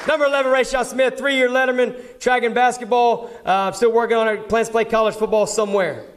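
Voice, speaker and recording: A man's voice: very high voice, little pitch movement, a little fast. A man speaking as a coach at an award ceremony, informally reading out a roster to the audience. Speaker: football coach presenting awards. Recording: live event, crowd noise, clear background noise.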